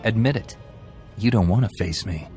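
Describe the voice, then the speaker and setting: Game protagonist, male actor: ruthless righteous knight, lowish register, firm commanding tone, light music bed. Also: animated, normal speed, darkish tone, natural voice. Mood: defiant, commanding. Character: ruthless, self-righteous Jedi warrior, commanding young man. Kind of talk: video game hero acting.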